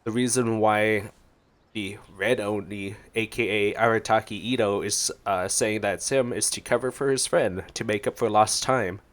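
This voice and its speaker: A man's voice: on the low side, fairly even intonation, normal speed. A man doing casual gameplay reaction commentary as a streamer, playful and joking as he reads and responds to dialogue. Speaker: gaming streamer. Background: game music.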